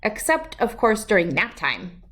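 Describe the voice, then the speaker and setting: A woman's voice: medium pitch, sing-song, a little slow, bright, forceful tone. A woman voicing a cheerful, eager little female bird teacher in a children's storybook, chirpy and emphatic. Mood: eager, insistent. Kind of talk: children's storybook read-aloud.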